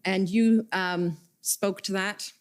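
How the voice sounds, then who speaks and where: A woman questioning a witness at a formal hearing, reading out document numbers and quoted messages in a measured way. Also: mid-range voice, steady tone, clear, resonant tone. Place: hearing room.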